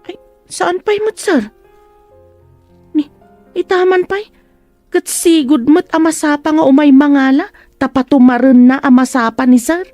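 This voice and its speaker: An actress plays a young housemaid in a radio drama, answering deferentially. Her voice is notably high, soft-edged and mellow, in a dry recording. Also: a little slow, pauses often, no room sound, soft background music. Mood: deferential, matter-of-fact. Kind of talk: Ilocano radio drama acting.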